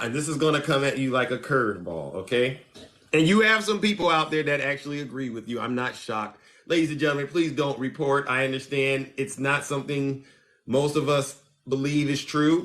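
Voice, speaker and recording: A man hosting a live call-in stream, teasing his callers in a playful way. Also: medium pitch. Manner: playful, teasing, swings between loud and soft. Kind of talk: casual live call-in chat.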